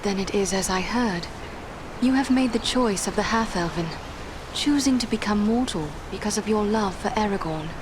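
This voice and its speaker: An actress playing a courteous, earnest elven warrior in a fantasy game, with composed, formal phrasing. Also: female voice, medium pitch, normal speed, noisy background, close to an everyday voice. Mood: sympathetic. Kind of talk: dramatic fantasy video game acting.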